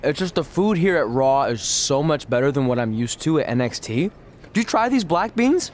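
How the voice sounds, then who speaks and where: A man's voice: a little high, sing-song, moderate pace, slight background noise. A man acting a goofy, eager young wrestler in a video game cutscene, chatting with boyish earnestness. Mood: casual, upbeat. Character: eager goofy young male pro wrestler, wisecracking and naive.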